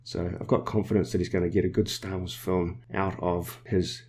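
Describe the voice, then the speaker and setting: A New Zealand man hosting a podcast, explaining film news in a measured way with small restarts. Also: low voice, level tone, darkish tone, husky. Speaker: podcast host. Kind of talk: casual podcast discussion.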